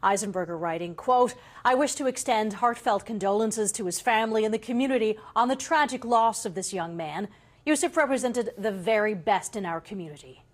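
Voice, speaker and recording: A woman's voice: mid-range voice, fairly quick. Female TV news anchor, middle register, scripted news reading.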